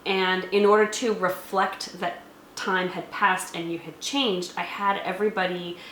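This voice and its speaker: Woman, slightly low voice, casual explanatory game-review talk, roomy echo with faint noise behind. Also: fairly even intonation, a little slow, a bit bright. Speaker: game master and co-host reviewing a tabletop roleplaying game.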